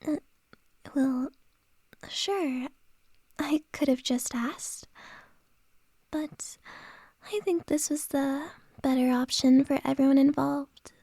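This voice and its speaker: A playful, flirtatious boss lady teases softly in a breathy whisper, as a woman acts her out in ASMR. Her voice sits high but mellow, drawn out slowly. It sounds dry and close to the mic. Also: fairly even intonation, no room sound, heavily acted. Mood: teasing. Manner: soft unvoiced whisper, teasing. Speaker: ASMR roleplay performer.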